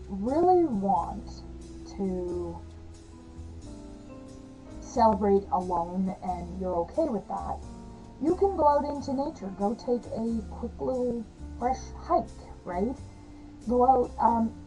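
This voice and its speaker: A slightly hoarse woman talks to her camera in an easy, rambling vlog manner, full of fillers and little laughs. Her tone is mellow and darkish, with a gravelly edge. Faint music plays underneath. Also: fairly high pitch, rough recording. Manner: chatty, rambling, frequent fillers and soft laughs. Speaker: witchcraft vlogger. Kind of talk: casual talk to camera.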